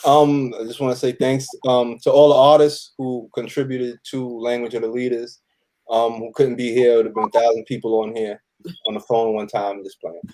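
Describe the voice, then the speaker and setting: Male interview guest, medium pitch, casual conversational delivery. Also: flat delivery, moderate pace, warm tone, low-quality recording. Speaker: interview guest, radio theater cast member. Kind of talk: casual chat.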